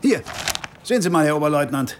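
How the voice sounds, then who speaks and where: An actor playing a gnarled, crafty army sergeant in a German radio drama, with a clipped, deferential military manner. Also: male voice, on the high side, expressive, fast, a little hiss or hum, close to an everyday voice. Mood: probing, matter-of-fact. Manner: military, clipped, matter-of-fact.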